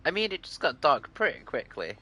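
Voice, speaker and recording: A man's voice: a little high, sing-song, fairly quick, sharp tone, breathy edge. A man chatting casually on a game livestream, informal and a little hesitant in his phrasing. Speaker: game streamer. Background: game music.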